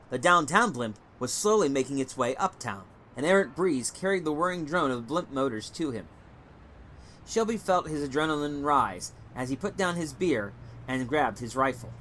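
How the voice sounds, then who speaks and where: A man reading a short story as scripted narration, in a measured, dramatic storytelling manner. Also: on the high side, fairly bright tone, a little hiss or hum. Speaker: story reader.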